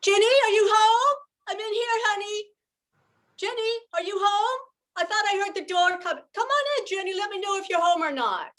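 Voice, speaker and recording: A woman's voice: extremely high pitch, expressive, moderate pace, fairly bright tone. An older woman playing an anxious, tender young widowed mother in an old-time radio soap. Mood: warm, motherly.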